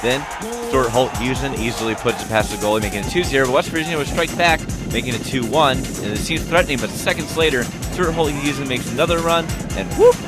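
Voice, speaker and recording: A young man doing casual, lively voice-over commentary on soccer highlights for a student sports show. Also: a little fast. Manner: lively, casual, laughs at his own slips. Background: music.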